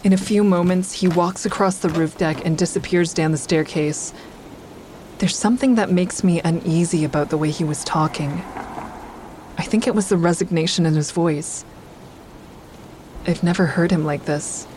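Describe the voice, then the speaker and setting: Low-voiced wary woman narrating played by actress. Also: normal speed, darkish tone, slight background noise, natural voice. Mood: uneasy, confiding. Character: wary, anxious woman narrating her own danger. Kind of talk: first-person audio drama narration.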